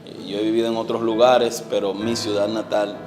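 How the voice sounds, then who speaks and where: Un hombre dominicano de unos cuarenta años, evangelista, cuenta su testimonio con acento dominicano, serio y con muchas pausas y muletillas. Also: male voice, lowish voice, flat delivery, over music. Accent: Dominican Spanish. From Dominican Republic. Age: 40s. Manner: earnest, hesitant with frequent fillers, trails off softly. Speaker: evangelist guest. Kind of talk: testimony interview.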